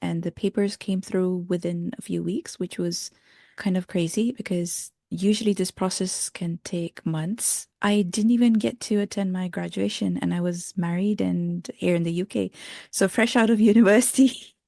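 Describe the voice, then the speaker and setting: Low, gravelly woman with a Pakistani accent. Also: steady tone, moderate pace, mellow, dry recording, clean studio sound. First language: not English. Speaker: podcast guest, artist. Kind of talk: podcast interview.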